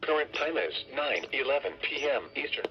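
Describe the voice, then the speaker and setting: A man's voice: mid-range voice, lively intonation, moderate pace, slight background noise. A synthetic male voice reading automated forecast bulletins through a radio speaker. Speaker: weather radio announcer. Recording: muffled lo-fi weather radio playback.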